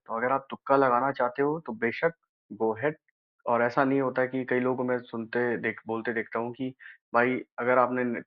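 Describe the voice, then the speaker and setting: Casual Indian man explaining, slightly dull recording. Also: medium pitch. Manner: casual, brotherly advising, checking with "okay?". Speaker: exam advice presenter. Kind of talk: informal explainer of a job notification.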